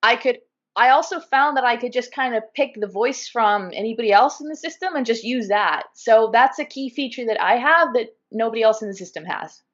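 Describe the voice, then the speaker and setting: A chatty woman with a Californian accent talks in an animated, casual way and fills her speech with "um" and "like." Her voice sits a bit high. The video call audio is somewhat muffled. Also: a little fast. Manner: chatty, animated, many fillers like "um" and "like". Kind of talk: casual conversation.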